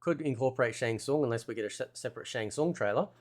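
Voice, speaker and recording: A man's voice: mid-range voice, fast. Casual male gaming host, rambling commentary. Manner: rambling, thinking aloud. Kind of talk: casual game trailer commentary.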